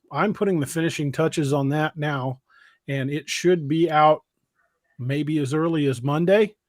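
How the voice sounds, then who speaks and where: A man hosts a casual livestream. His voice sits a little high and very dry, and he talks in a plain-spoken, rambling way. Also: dry recording. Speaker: podcast host and author.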